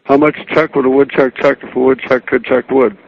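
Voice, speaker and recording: Laconic male phone voice, gravelly and unhurried, casually playing along, lo-fi line. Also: medium pitch, level tone. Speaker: person answering a prank call. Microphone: telephone handset. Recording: dull lo-fi phone call.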